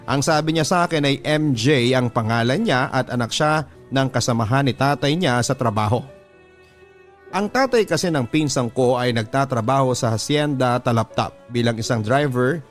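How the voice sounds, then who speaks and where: Filipino man narrating, music behind. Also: medium pitch. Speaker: radio drama storyteller reading a letter. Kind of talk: scripted first-person narration, Tagalog radio drama.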